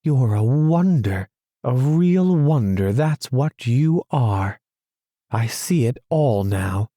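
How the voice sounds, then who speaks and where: A man's voice: medium pitch, big pitch swings, measured pace, mellow, no room sound, clean studio sound, pitched above the performer's own voice. A man voicing a fussy, literal-minded male mole in a storybook reading, with a prim, pettish manner. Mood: admiring.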